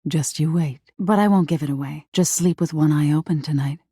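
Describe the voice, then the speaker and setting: Creepy female nightmare spirit for a game, dark mellow voice, husky, intimate, menacing but sweet. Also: a little fast, dry recording, clean studio sound. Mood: playfully sinister. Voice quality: breathy, husky, low. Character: female living nightmare spirit, eerie, seductive, wounded, menacing. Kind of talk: video game character acting.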